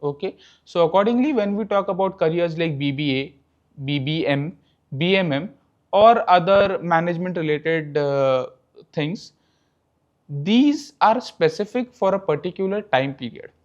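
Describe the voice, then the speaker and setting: A young professor from India, a man with an Indian English accent and Marathi as his first language, talks with a slightly raised pitch. He explains step by step and drops a quick "okay" after his points. The recording is a bit dull and lo-fi. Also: animated. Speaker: guest, professor. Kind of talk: interview answers.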